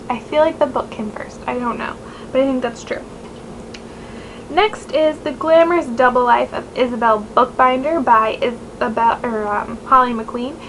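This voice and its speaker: Young woman, nasal, chatty book vlogger; higher voice, hiss on the recording. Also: creaky. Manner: chatty, rambling, many fillers. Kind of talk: casual chat to camera. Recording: noisy home recording.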